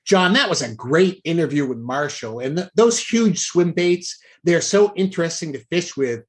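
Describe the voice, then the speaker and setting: A man's voice: fairly high pitch, lively intonation, moderate pace. A man co-hosting a podcast, telling fishing stories in a casual, conversational style. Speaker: podcast host.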